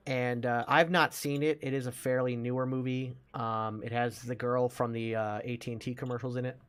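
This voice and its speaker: A man's voice: medium pitch, level tone, a little fast, clear, resonant tone. A man chatting casually as a podcast host about films, explaining things with enthusiasm. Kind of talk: casual movie discussion.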